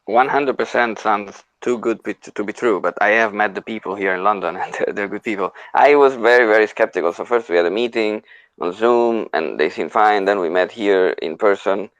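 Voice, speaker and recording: Male discussion host, low register, dry close sound, conversational and emphatic, unscripted. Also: fairly quick, dry recording, rough recording. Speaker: host moderating an online audio discussion. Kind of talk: casual unscripted group discussion. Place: live online audio chat room.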